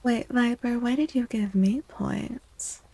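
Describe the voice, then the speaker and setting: Female ASMR streamer, soft-spoken and unhurried, mellow tone, gentle casual chat. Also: a little high, no echo. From United States, born to Mexican parents. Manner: gentle, encouraging, replying to viewers. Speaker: VTuber streamer chatting with viewers. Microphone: close, dry microphone.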